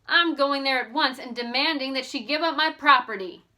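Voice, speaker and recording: Woman reading as blunt, bright-voiced teenage girl chum. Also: sits high, moderate pace, voice pushed up. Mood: indignant. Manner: forthright, scoffing, exclamatory. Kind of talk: audiobook character voice.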